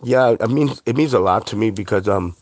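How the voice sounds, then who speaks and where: Man, very low voice, middle-aged chef, Khmer first language, earnest, loose and filler-heavy speech, dry close sound. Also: a little fast, no room sound. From Cambodia. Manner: earnest, relaxed, many fillers. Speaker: chef and author. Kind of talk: interview conversation.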